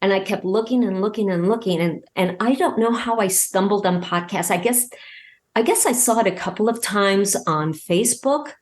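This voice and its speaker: A woman talking casually as an interview guest, excited and halting, often repeating words as she goes. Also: mid-range voice. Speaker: podcast guest, audio drama creator.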